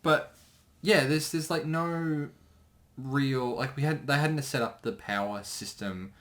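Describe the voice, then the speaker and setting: A man chatting casually as a commentator, thinking aloud in a halting way. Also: medium pitch, a bit bright. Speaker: creator giving episode commentary.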